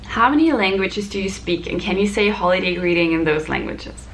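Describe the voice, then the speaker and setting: A woman's voice: medium pitch, lively intonation, a little fast, fairly bright tone, rough recording. A woman in her twenties, a native German speaker, chatting casually and explaining things to camera in a question-and-answer vlog. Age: 20s. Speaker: vlogger, flight attendant. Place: small hotel room, indoors. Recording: clear background room noise.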